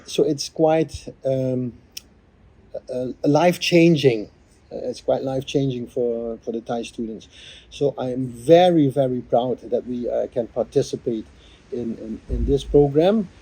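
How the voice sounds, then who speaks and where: A man, a workshop coordinator, giving a reflective interview answer in a halting way, with many fillers and restarts. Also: medium pitch, dark tone, slightly rough, poor audio.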